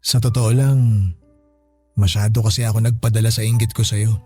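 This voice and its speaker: Mellow, humbled older brother. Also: male voice, on the low side, little pitch movement, normal speed, slightly rough. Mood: regretful, humbled. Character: humbled, grateful older brother, former tough guy. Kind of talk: radio drama dialogue, slangy and conversational. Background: background music.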